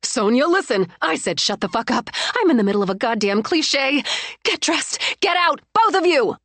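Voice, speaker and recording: A woman voicing a furious, sharp-tongued betrayed woman. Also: sing-song, normal speed, dry recording, heavily acted. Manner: shouting, biting, loud and high.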